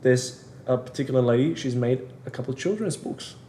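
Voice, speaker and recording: A man from Australia co-hosting a podcast, agreeable and relaxed, often trailing off softly. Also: mid-range voice, a little fast. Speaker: podcast co-host. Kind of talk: casual podcast discussion. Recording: echoey room.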